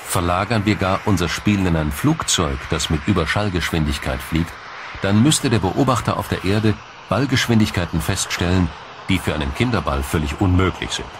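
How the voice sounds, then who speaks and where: A man narrating a scripted documentary in a measured, explanatory storytelling manner. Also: low-pitched, expressive, noisy background. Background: music.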